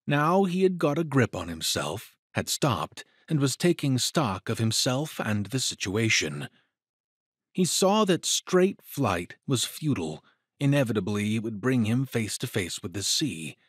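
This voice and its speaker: A man's voice: medium pitch, sing-song, unhurried, husky, no echo, studio quality. A man narrating a scripted adventure story in a dramatic style, as the narrator of an audio drama.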